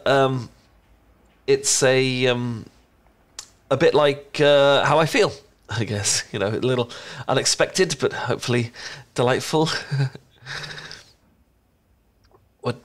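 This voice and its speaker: A man's voice: medium pitch, natural voice. Man acting shy, stammering British chocolatier. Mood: bashful, hopeful. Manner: nervous, stammering, halting. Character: shy, adorkable young male chocolatier, smitten and flustered. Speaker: voice actor in an audio roleplay. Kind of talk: ASMR roleplay, one-sided intimate conversation.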